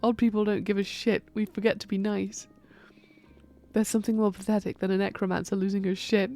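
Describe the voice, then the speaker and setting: A woman's voice: mid-range voice, level tone, fairly quick, warm tone, lightly acted. A woman voicing an old witch and necromancer, blunt, sardonic and crude-mouthed, in an audio drama. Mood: wry. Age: older.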